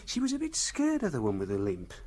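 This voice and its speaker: A man's voice: medium pitch, huge pitch swings, quick pace, darkish tone, husky. An actor playing a cheeky, nervous womanising salesman in a radio drama, speaking in a hesitant, matey way.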